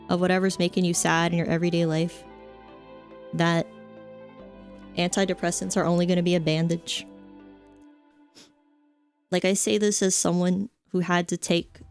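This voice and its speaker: A young adult woman streamer chatting casually, rambling with lots of fillers and offhand jokes. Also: fairly low pitch. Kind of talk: casual chat stream, reading chat.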